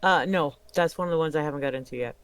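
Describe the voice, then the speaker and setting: A man's voice: a little high, rapid-fire, fairly bright tone, smooth. A middle-aged man chatting casually as a guest on a gaming stream. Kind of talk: casual chat.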